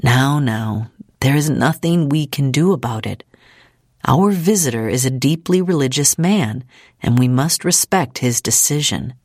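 A calm, resigned middle-aged father from an audiobook, read by a woman. He talks slowly in a low, soothing, measured way, recorded very dry. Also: no room sound, exaggerated voice. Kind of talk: audiobook character acting.

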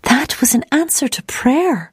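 A narrator voicing an eager, riveted woman companion in an audiobook, with astonished, breathy exclamations. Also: high voice, expressive, measured pace, no echo, voice pushed up. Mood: riveted, amazed.

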